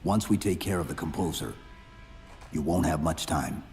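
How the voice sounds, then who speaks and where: An actor playing a stoic, terse armored supersoldier in a video game cutscene, grim and commanding. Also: male voice, a bass voice, normal speed, a little mellow. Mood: grim, steady. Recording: long reverb, music behind.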